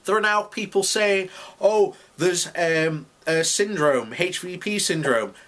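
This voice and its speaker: A man's voice: sits high, slow, fairly bright tone. A man giving unscripted, opinionated commentary as a video blogger, speaking emphatically and indignantly and repeating himself to drive points home.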